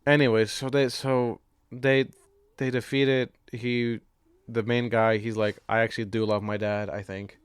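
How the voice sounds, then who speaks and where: A man chats as a podcast co-host in a somewhat low-pitched voice. The sound is dry and close, and his delivery is loose, sweary and informal, with laughter mixed into his speech. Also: flat delivery, a little slow, clear, resonant tone, dry recording. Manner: loose, profane, laughs while talking. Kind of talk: casual chat, film discussion.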